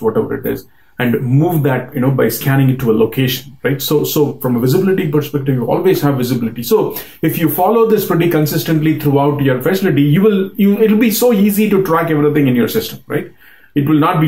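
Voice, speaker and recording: A man's voice: medium pitch, vocal fry, darkish tone. A man in his forties explaining warehouse practice to camera in a small plain room, earnest and full of "you know" and "right?" fillers. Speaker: supply chain company principal. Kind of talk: unscripted business explainer to camera. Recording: roomy echo.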